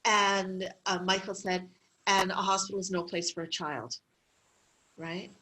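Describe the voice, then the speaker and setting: Middle-aged woman guest, a medical clown; lively, chatty anecdotes with laughter. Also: medium pitch, a little slow, low-quality recording. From Canada. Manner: lively storytelling with frequent laughs and fillers. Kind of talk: interview conversation.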